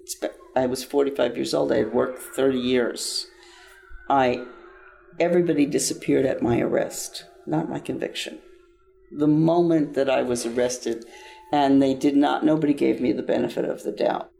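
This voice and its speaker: Gravelly older man, earnest and halting. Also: mid-range voice, flat delivery, mellow, slight background noise. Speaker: interview guest, nonprofit founder. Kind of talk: interview answers, personal account.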